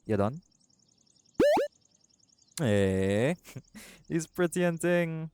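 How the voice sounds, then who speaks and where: A man voicing a doting, laid-back seagull shifter boyfriend in an intimate audio roleplay, speaking softly and affectionately. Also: on the high side, lively intonation, very slow, slight background noise. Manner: soft-spoken, affectionate, creole-inflected speech.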